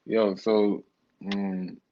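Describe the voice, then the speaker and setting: A man joining a stream panel as a guest, speaking slowly and hesitantly with many fillers. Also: fairly low pitch, little pitch movement. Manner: slow, hesitant, filler-heavy. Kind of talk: casual stream talk. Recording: quiet, distant, muffled call audio with hiss.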